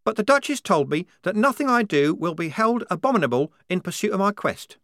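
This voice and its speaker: A man's voice: sits high, sing-song, a little fast, fairly bright tone, no echo, clean studio sound, voice pushed up, full character voice. A man reading an audiobook, voicing a naive, devout nineteen-year-old woman soldier who speaks with fervent earnestness. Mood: earnest.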